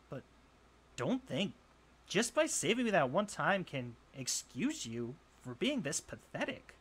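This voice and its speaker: A man's voice: fairly high pitch, a bit bright, slight background noise, exaggerated voice. A young man playing a jealous, insecure college bully in a roleplay audio drama, scoffing, sighing and defensive. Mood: angry, defensive. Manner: scoffing, sighing, swearing, halting when flustered.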